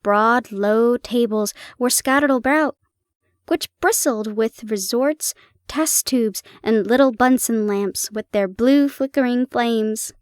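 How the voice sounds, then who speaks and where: Woman storyteller, steady reading pace, higher pitch, dry close sound. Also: slow pace, smooth, dry recording. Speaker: audio roleplay narrator.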